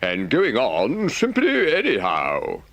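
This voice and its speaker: A man voicing an earnest, reproachful rat in an audiobook, telling things gravely and dramatically. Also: medium pitch, huge pitch swings, takes it slowly, fairly bright tone, no echo, voice pushed up, exaggerated voice. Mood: grave, dramatic. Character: earnest, reproachful male rat, loyal storyteller.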